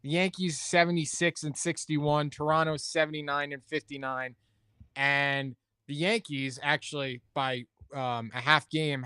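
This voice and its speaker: A man's voice: on the high side, fairly bright tone, smooth, no room sound. A man hosting a sports talk show, chatting casually and thinking aloud as he weighs his opinions. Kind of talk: casual sports commentary chat.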